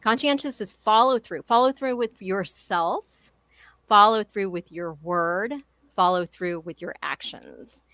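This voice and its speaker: A woman's voice: mid-range voice, unhurried, bright, forceful tone, no echo. A woman in her forties speaking as a guest in an interview, emphatic and enthusiastic in a motivational way. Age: 40s. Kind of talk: conversational interview.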